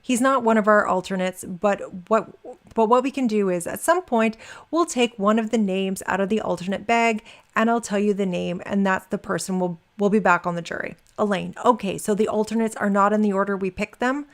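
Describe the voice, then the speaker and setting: A woman's voice: mid-range voice, a little fast, hardly any pauses. A woman reading a transcript aloud, dropping in informal, wry asides as commentary. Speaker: reader and commentator.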